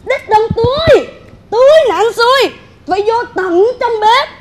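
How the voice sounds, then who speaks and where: A woman's voice: extremely high pitch, expressive, measured pace, slight background noise. A woman playing a brash, boastful, scolding middle-aged mother-in-law in a stage comedy, with a southern Vietnamese accent and an exclaiming, theatrical delivery. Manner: theatrical, exclaiming, repeats herself for emphasis. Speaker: comic stage actress. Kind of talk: broad stage comedy acting. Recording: live stage with loud audience.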